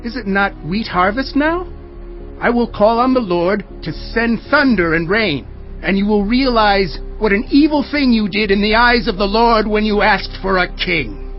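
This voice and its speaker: High-voiced stern old prophet. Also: male voice, measured pace, dull audio, soft background music. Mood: stern, admonishing. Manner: declamatory, solemn, emphatic. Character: old gray prophet, stern, solemn leader addressing his people. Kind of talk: dramatized audio Bible acting.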